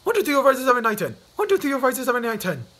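A man doing a shrill, stammering scaredy-cat. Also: huge pitch swings, fairly quick, exaggerated voice. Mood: counting shakily. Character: panicky timid little man, easily terrified.

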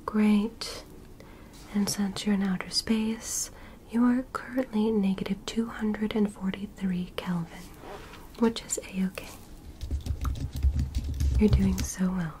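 A woman whispering as a gentle, curious alien doctor in a soft, soothing ASMR medical roleplay. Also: mid-range voice, fairly even intonation, noisy background, close to an everyday voice. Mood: soothing, clinical. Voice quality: breathy unvoiced whisper. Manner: soft, slow, soothing reassurance with clinical instructions. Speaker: ASMR performer.

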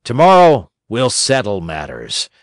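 Man playing a rough, angry farmer. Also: mid-range voice, wildly swooping pitch, a little slow, no echo, studio quality. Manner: rough, scolding, threatening. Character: angry, stern male farmer catching a thief.

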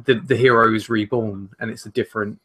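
A man's voice: on the low side, steady tone, brisk, a bit bright, a little hiss or hum. A man in his twenties chatting casually as a podcast co-host, feeling his way through his points a little hesitantly. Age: 20s. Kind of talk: casual chat. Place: home room, indoors.

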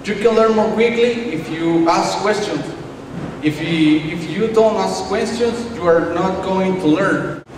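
A young man speaks a little high for a man, earnest and careful. He sounds far from the mic in a very echoey room, with clear ambience behind him. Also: a little slow, background noise. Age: young adult. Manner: careful, earnest, with some restarts. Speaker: English language student giving a speech. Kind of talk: prepared speech. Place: small classroom indoors, at night. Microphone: room microphone at a distance. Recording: echoey room, picked up from afar.

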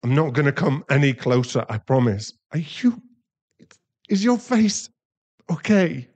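A man playing a distraught, guilt-ridden werewolf boyfriend in an intimate ASMR roleplay, halting and pleading. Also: a little high, very animated, darkish tone, full character voice. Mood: shocked, anxious. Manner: halting, pleading, emotionally fragile.

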